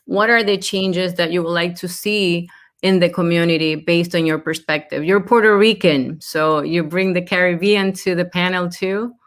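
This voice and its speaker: A woman's voice: fairly low pitch, steady tone, moderate pace. A woman from the Dominican Republic moderating a panel discussion as host, speaking in an encouraging, supportive manner.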